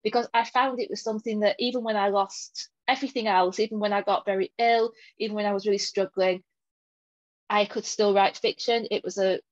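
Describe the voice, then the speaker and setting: A woman's voice: medium pitch, fairly even intonation, brisk, no room sound, lo-fi sound. A woman from Lancashire with a mild Lancashire accent, answering interview questions as a guest author in an articulate, reflective way. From Lancashire, England.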